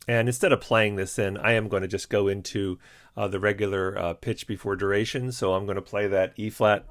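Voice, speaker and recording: A male music teacher walking through a software tutorial in calm, step-by-step instructions, with frequent "uh" and "um" fillers. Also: on the low side, dry recording, studio quality. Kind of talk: screen-recorded software tutorial.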